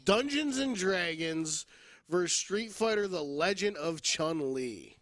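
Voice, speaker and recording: A man's voice: high-pitched, lively intonation, slow pace, fairly bright tone. A man hosting a podcast, chatting casually about movies in a boisterous, teasing, opinionated way. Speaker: podcast host. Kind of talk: casual movie debate chat.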